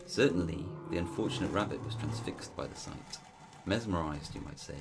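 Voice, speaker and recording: A man's voice: sits low, moderate pace, creaky, very noisy. A man delivering scripted dramatic narration for an audio drama.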